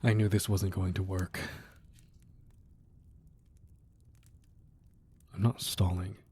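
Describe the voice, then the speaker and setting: Guy acting as a protective crime boss boyfriend, low dark relaxed voice, soft and earnest, romantic asmr roleplay. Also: normal speed, slight background noise, lightly acted. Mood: reluctant, uneasy. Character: devoted, protective crime boss boyfriend confessing his feelings. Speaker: audio roleplay performer. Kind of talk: romantic ASMR roleplay, intimate scripted monologue.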